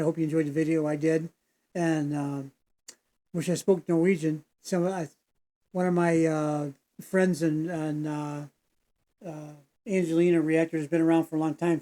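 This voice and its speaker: A man's voice: on the high side, mellow. A man hosting a reaction video, talking in a casual, folksy way that wanders off into tangents and back, with lots of fillers. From United States. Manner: meandering, folksy, digressive with many fillers. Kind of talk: casual rambling commentary.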